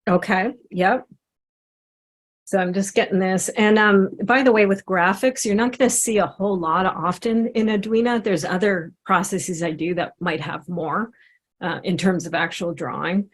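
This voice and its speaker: Gentle woman guiding self-inquiry. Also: mid-range voice, normal speed. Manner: gentle, encouraging, drawing while talking. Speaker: satsang facilitator and coach. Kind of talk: guided self-inquiry session over video call.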